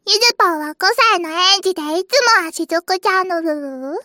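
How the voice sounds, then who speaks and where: A tiny kindergarten girl for an anime voice drama. The voice is extremely high and childlike, cheerful and cutesy, with babyish sentence endings. It sounds close and dry. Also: quick pace, pushed and bright, no echo. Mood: eager, cutesy. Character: cutesy five-year-old kindergarten girl, cheerful, quirky archaic speech. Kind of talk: Japanese anime voice drama acting.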